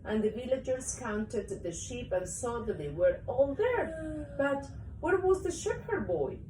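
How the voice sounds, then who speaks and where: A woman reading a children's story aloud to a young child in an animated, expressive way. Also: a little high. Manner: expressive, acts out story parts. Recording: roomy, distant mic, faint noise.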